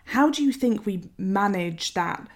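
A woman co-hosting, posing a careful, measured question. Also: medium pitch, animated. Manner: measured, searching for words. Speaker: co-host. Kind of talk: interview question.

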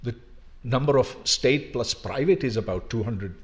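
A man's voice: medium pitch, normal speed. An older man, a longtime professor from Pakistan, giving an emphatic public lecture full of rhetorical questions. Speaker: university professor.

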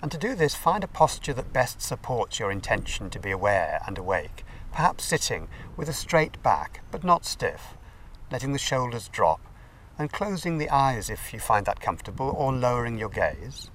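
Man guiding meditation, expressive, distant mic. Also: mid-range voice. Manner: gentle, unhurried instruction with pauses. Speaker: meditation teacher. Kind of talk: guided meditation. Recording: distant mic, faint background noise.

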